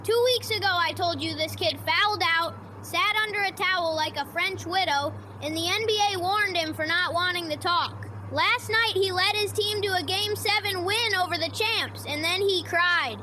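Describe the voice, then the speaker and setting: A woman's voice: very high-pitched, normal speed, few pauses, sharp tone, slight background noise. A woman sports correspondent giving dramatic, opinionated commentary with hyperbolic delivery.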